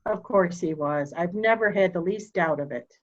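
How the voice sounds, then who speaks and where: A woman playing a proud, formal, candid elderly mother in a murder mystery reading, speaking deliberately. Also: fairly low pitch, lo-fi sound, close to an everyday voice. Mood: bitter, frank. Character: proud, cold old mother, candid and slightly deaf.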